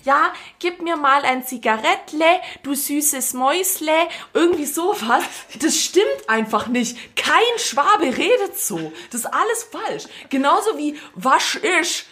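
Woman from Swabia, casual podcast co-host, playful, quick to mimic. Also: sits high. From Swabia, Germany. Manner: lively, joking, mimics voices. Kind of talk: casual chat.

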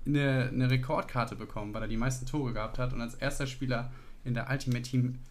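A man's voice: mid-range voice, fairly even intonation, brisk. A young man co-hosting a casual podcast, eagerly recalling old memories and blurting out quiz answers. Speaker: podcast co-host, quiz contestant. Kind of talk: casual chat.

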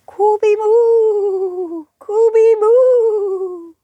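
A woman voicing a small, harmless storybook ghost that repeats one drawn-out, hooting spooky call. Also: warm tone, no room sound, dull audio, heavily acted. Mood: eerie wailing. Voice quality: drawn-out, high, hooting moan.

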